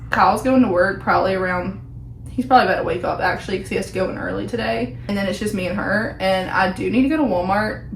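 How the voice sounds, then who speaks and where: Hoarse young woman, chatty and rambling, roomy echo, faint hum. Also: mid-range voice. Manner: chatty, rambling, filler-heavy. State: hoarse, voice nearly gone. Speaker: vlogger. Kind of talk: casual chat to camera. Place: at home indoors.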